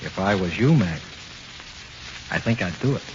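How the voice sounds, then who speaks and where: An actor playing the ghost of an executed gangster in 1940s radio horror, talking tough, streetwise slang with cool menace. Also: male voice, deep voice, lively intonation, normal speed. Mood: grim, persuasive. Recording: muffled hissy 1940s radio recording.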